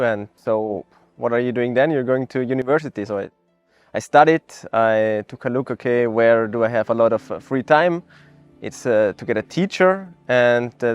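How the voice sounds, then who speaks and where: An earnest young man tells his own story in a promotional interview, thoughtful and reflective. His voice sits at an ordinary middle pitch, and he stops often to find his words, with fillers and restarts along the way. Soft music plays quietly underneath. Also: lo-fi sound. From Austria. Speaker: network marketing ambassador.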